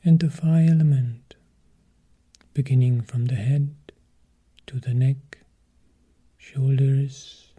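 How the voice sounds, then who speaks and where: A man leads a guided meditation as a teacher. His voice is very mellow and dark, with a soft, husky breathiness. He speaks extremely slowly and calmly, in short measured phrases separated by long pauses. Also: mid-range voice.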